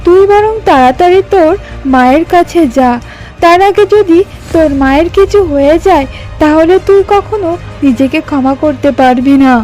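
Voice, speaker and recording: Lady doing a sneaky tigress neighbour, high voice, nosy and pushy, bit of music behind. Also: moderate pace, a little mellow, natural voice, poor audio. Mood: feigned concern. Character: sly, deceitful tigress neighbour, feigning concern.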